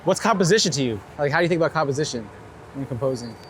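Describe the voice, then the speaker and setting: Male street interviewer, slightly high voice, casual questioning, clear traffic noise around him. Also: expressive, rapid-fire. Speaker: interviewer, host. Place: outdoors on a city street. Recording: noisy city street, traffic.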